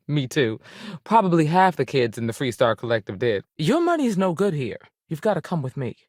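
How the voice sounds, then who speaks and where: A woman's voice: deep voice, very animated, moderate pace, a little mellow, dry recording, studio quality. An actress playing a tough, dutiful woman space ranger in a video game, speaking in a plain, firm manner. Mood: easygoing, sincere, then stern, official. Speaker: video game character voice actor. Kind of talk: game dialogue lines.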